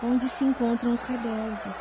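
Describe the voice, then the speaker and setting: A woman playing a venerable spiritual leader in an audio drama, earnest, deliberate and formal. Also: a little high, little pitch movement, noisy background, natural voice. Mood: earnest, concerned.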